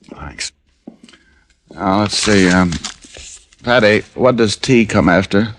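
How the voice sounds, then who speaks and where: An actor playing a wry, world-weary American private detective in 1950s radio drama style. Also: male voice, lowish voice, slow, slight background noise. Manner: wry, flippant. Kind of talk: 1950s radio drama acting, first-person noir narration. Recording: old radio broadcast with music.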